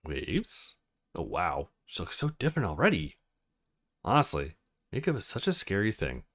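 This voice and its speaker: Animated man with swooping pitch. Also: moderate pace, slightly rough, dry recording, clean studio sound. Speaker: let's play host reading a visual novel aloud. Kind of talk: reading game dialogue aloud with short reactions.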